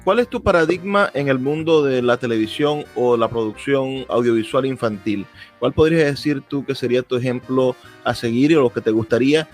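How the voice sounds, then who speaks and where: A man with a Venezuelan accent hosting a radio interview, asking long conversational questions in a halting way, searching for words. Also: medium pitch, faint music behind.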